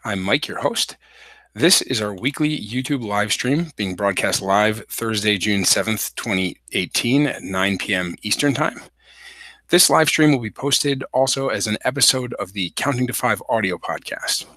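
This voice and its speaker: Host, male, hoarse from a cold, lowish pitch, bright tense tone, dry sound, halting explainer with lots of ums. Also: expressive, no echo. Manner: many fillers and restarts, explanatory. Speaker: podcast host. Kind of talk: solo legal explainer livestream.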